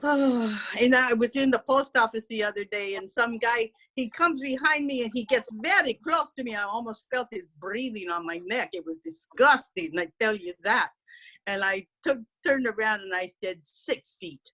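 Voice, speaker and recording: A woman's voice: fairly high pitch, animated, unhurried, a bit bright, rough recording. A woman voicing a brash, gossipy middle-aged housekeeper, talking bluntly in broken English. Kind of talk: character stand-up comedy.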